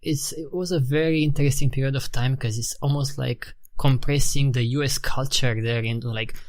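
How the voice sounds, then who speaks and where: A man's voice: medium pitch, steady tone, moderate pace. Romanian-accented man, thoughtful talker. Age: 30s. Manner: thoughtful, restarts phrases, leans on "you know" and "like". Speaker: guest. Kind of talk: casual interview conversation.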